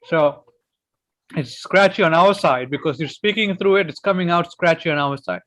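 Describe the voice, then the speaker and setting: A man's voice: a little high, moderate pace, a bit bright. A man co-hosting a video call, explaining things plainly and patiently. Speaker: meeting co-host. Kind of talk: casual chat.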